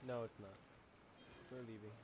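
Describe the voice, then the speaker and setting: A man's voice: lowish voice, little pitch movement, normal speed, very noisy. A man reacting casually while playing a video game, as a streamer would. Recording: game music behind the voice.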